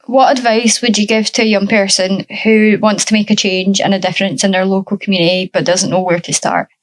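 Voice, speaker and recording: A woman interviewer reading out her questions one by one, with a mid-range pitch. She thanks each guest politely after every reply. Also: level tone, brisk, poor audio. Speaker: podcast host.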